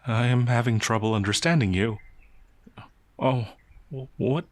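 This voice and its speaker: A man voicing a gentle, confused, ancient werewolf in an ASMR roleplay, soft-spoken and polite, with hesitant stammering. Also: medium pitch, no room sound, close to an everyday voice. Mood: confused, anxious. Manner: halting, hesitant, bewildered stammering. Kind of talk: ASMR roleplay audio drama.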